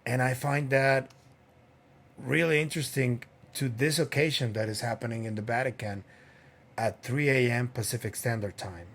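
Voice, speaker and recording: A man hosting his own podcast, musing aloud in a loose, conversational way. His voice sits at an ordinary middle pitch and he fills his pauses with plenty of ums and uhs. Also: steady tone, fairly bright tone. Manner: unhurried, many fillers. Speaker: podcast host. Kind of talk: casual solo commentary.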